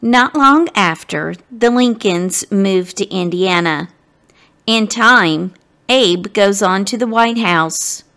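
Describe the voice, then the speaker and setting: Slow-paced woman reading a storybook aloud. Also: mid-range voice. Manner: expressive, dramatic, addresses the listeners directly. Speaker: guest story reader. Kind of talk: animated storybook read-aloud for children.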